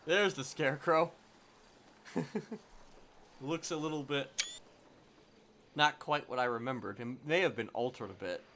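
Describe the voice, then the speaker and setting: Bright-voiced male streamer, slightly high. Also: unhurried, pauses often, a little hiss or hum. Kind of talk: casual livestream commentary on a roleplay game.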